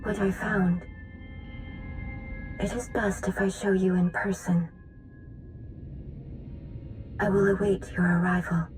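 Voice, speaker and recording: An actress playing a solemn, secretive mystic in a game cutscene, husky and breathy, speaking in a measured, formal way. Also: female voice, mid-range voice, little pitch movement, music in the background, natural voice. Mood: uneasy, guarded. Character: solemn mystic seer, grave and secretive woman. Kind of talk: dramatic video game cutscene acting.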